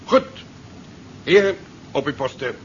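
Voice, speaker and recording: An actor playing a formal, dutiful naval commander giving orders in a 1970s Dutch radio drama. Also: male voice, a little high, big pitch swings, unhurried. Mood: formal, commanding. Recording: dull, hissy 1970s radio play recording.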